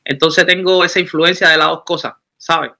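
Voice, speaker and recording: A Cuban man with a very bright, ringing voice talks at a fairly high pitch. He is a musician in his thirties who tells his story in a relaxed interview. He restarts his sentences often as he goes. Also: moderate pace, gravelly. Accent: Cuban Spanish. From Cuba. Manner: animated, rambling with frequent restarts. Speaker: musician guest. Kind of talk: casual interview talk.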